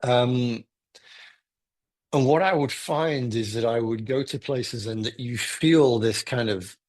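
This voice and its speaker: A middle-aged man with an English accent, speaking as a guest in a relaxed interview, thoughtful and prone to restarts. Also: medium pitch, takes it slowly. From United Kingdom. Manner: thoughtful, rambling with frequent restarts. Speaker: guest photographer. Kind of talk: casual interview conversation.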